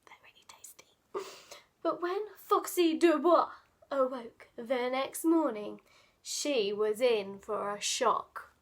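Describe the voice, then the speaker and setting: A woman reading a children's picture book aloud, dramatic and playful, often dropping into hushed asides. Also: sits high, takes it slowly. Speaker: children's storyteller.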